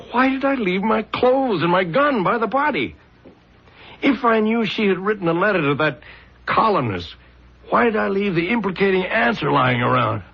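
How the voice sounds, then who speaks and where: Gravelly, defensive male salesman. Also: high-pitched, exaggerated voice. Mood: desperate, pleading. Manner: hesitant, protesting, emphatic. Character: troubled, defensive male traveling salesman protesting his innocence. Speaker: actor in a radio drama. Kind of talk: 1970s radio drama acting. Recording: dull, lo-fi radio drama recording.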